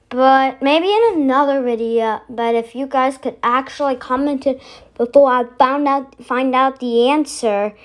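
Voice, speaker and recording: A video host giving casual vlog commentary, rambling and thinking aloud. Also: sits high.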